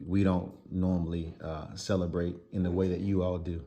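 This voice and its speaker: Low-voiced, hesitant male interviewer. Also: level tone, moderate pace, warm tone. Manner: hesitant, many fillers and restarts. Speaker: interviewer, student.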